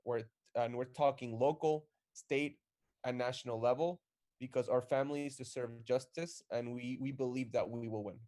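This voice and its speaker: A man co-hosts an activist live stream with a rallying, energetic delivery. His voice is mid-pitched and warm, with a mellow edge. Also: moderate pace.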